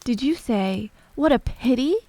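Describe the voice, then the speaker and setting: Storybook rabbit, male, timid and anxious, female performer. High, hushed, frightened delivery. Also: animated, no room sound. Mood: nervous. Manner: hushed, fearful, hurried whispering.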